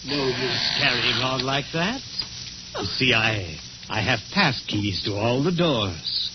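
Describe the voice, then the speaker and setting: An actor playing a menacing, obsessed middle-aged husband in a slow, deliberate, ominous way, in old-time radio suspense style. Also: male voice, medium pitch, expressive. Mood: brooding, ominous. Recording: noisy, hissy, muffled old radio recording.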